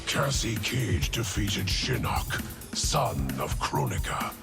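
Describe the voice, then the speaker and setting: A man's voice: on the low side, sing-song, slow pace, loud music behind the voice, full character voice. An actor voicing a solemn, menacing immortal henchman with a grave, portentous delivery in a video game cutscene. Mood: cold, vengeful.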